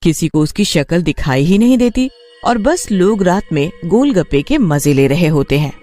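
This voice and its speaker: A man's voice: high voice, lively intonation, normal speed, warm tone, soft background music. A man reading scripted narration for a cartoon story.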